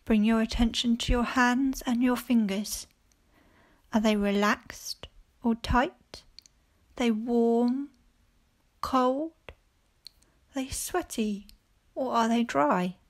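Very slow, calm woman guiding meditation. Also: on the high side. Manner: calm, gentle instructing with long pauses between short phrases. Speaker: primary school teacher leading a guided meditation. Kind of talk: guided mindfulness body scan for children.

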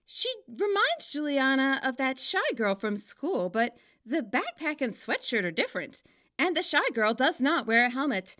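A woman narrating a scripted story in an expressive, wryly dramatic way. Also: sits high, moderate pace. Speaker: author narrating own fan fiction.

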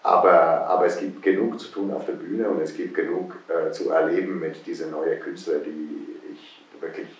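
A middle-aged conductor with an Italian accent talks in a deep, low voice, pausing often and searching for words. He sits in a wood-panelled room, picked up by a camera some way off, so the sound is full of room echo. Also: fairly even intonation. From Italy. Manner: thoughtful, hesitant, many fillers. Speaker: guest conductor. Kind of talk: interview answers. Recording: roomy, distant echo.

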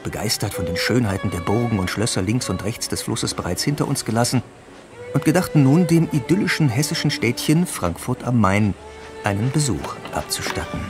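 An actor playing a Victorian gentleman who narrates his memories in a measured way for a German radio play. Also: mid-range voice, animated, over music, close to an everyday voice. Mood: measured, reminiscing.

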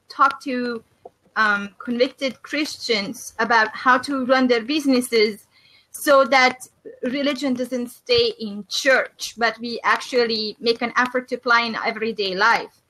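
A middle-aged woman from Hungary with a Hungarian accent, hosting a faith and business video call and speaking thoughtfully with many pauses and fillers. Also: fairly high pitch, pushed and bright, poor audio. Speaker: host of a faith and business discussion. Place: small room indoors. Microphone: webcam on a video call.

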